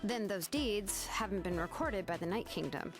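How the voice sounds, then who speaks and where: A woman's voice: medium pitch, animated, moderate pace, music in the background, lightly acted. A woman voicing a young master artisan in an anime game, laid-back but exasperated, speaking in a hesitant, wry way. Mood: explaining.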